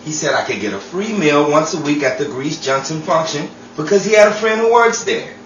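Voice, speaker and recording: A man's voice: on the high side, expressive, brisk, a bit bright, dull audio. A man playing a sheepish schemer full of excuses in a 1940s-style radio comedy. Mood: sheepish, defensive. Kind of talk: 1940s old-time radio comedy acting. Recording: echoey distant room, noisy audience ambience.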